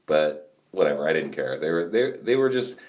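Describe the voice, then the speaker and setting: Young man, very deep voice, loud casual podcast chat, laughs a lot. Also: sing-song, moderate pace. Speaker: podcast co-host.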